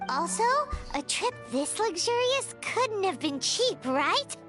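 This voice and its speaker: A woman voicing a small, chirpy, eager childlike fairy guide in a video game, with a squeaky, excitable delivery. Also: slow pace, heavily acted. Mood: curious. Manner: speaks of herself in third person, excitable. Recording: music playing behind the voice.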